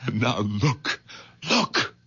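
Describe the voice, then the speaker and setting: An actor playing an agitated doctor who talks excitedly and repeats himself in a 1970s radio drama. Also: male voice, on the low side, very slow, husky, full character voice. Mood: intense. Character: agitated middle-aged doctor, husband, overwrought.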